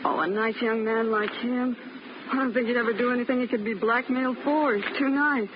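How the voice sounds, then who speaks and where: An actress as a flustered young maid on old radio. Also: female voice, a little high, steady tone, a little fast, natural voice. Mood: protesting. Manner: flustered, insistent denials. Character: nervous young maid, defensive and protesting innocence. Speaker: housemaid. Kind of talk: 1950s radio drama acting. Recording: muffled old radio broadcast with heavy hiss.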